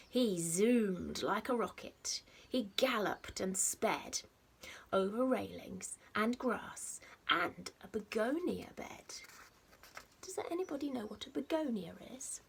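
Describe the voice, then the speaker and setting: A woman reading a picture book aloud to young children like a teacher, expressively, with dramatic pauses and stressed rhymes and sound words. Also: medium pitch, gravelly, a little hiss or hum. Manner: simple explanatory asides addressed to young children. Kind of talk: animated storybook read-aloud.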